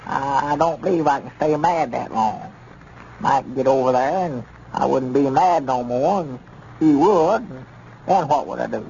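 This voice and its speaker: An actor playing a timid, blustering older country storekeeper in 1930s radio comedy, with a rural Southern accent and a fretful drawl. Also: male voice, medium pitch, measured pace, creaky, darkish tone. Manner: drawling, fretful, backs down from his own bluster. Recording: dull old radio recording with faint hiss.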